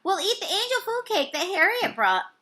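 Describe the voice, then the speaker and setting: A woman's voice: extremely high pitch, very animated, normal speed, bright, forceful tone, pitched above the performer's own voice, heavily acted. A woman voicing a bossy, wry but patient beagle scout leader in a children's storybook. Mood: eager, exasperated. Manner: instructing, exclaiming, sometimes sarcastic. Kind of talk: children's storybook read-aloud.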